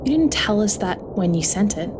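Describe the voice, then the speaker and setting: A woman's voice: medium pitch, very animated, moderate pace, background noise, natural voice. A woman voicing a grieving, hurt woman pleading with her sister in an audio story. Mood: hurt, shaken. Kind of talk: audio story character dialogue.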